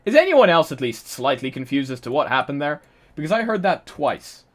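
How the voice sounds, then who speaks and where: A man's voice: on the high side, big pitch swings, a little fast. A young man from Poland chatting casually on a live stream, reading chat aloud and laughing often.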